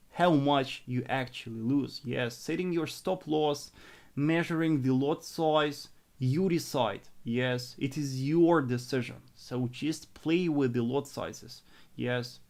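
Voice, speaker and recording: A young adult man from northern Russia with a Russian accent, answering live chat questions on a trading stream in a halting, earnest way with many restarts. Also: medium pitch, gravelly, a little mellow. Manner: halting and repetitive, conservative and earnest.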